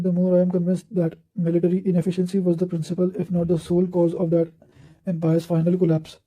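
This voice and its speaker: A man's voice: high voice, mellow, low-quality recording. A man reading historical quotations aloud from a script in a formal, bookish manner, occasionally stumbling.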